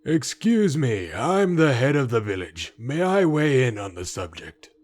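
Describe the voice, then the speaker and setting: A man's voice: medium pitch, very animated, a little slow. A man voicing a courteous, earnest village leader in a comic dub, formal and deferential. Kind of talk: comic dub character acting. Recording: music behind the voice.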